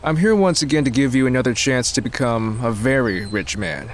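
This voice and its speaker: A man's voice: mid-range voice, a little fast, natural voice. Smug wealthy businessman, acted by a man, noisy recording. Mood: smug persuasion. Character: smug wealthy Chinese businessman, pleading and persuasive. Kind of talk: audio drama acting.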